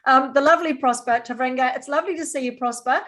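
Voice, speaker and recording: A woman's voice: sits high, quick pace, fairly bright tone. A woman hosting a podcast interview over a call, upbeat and encouraging. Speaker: podcast host. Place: remote call.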